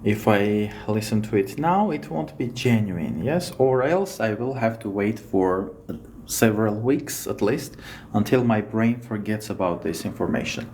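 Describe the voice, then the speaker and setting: A man with an Armenian accent, a native Armenian speaker, teaching English as he walks through a test on screen. He talks in an unhurried, hesitant way and keeps checking in with "yes?". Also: fairly low pitch, expressive, darkish tone, a little hiss or hum. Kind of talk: screen-share lesson walkthrough.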